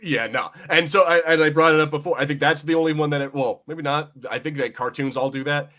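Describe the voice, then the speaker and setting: A man chatting casually as a podcast host, with an excitable, rambling and sweary way of talking. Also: a little high, quick pace. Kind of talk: casual chat.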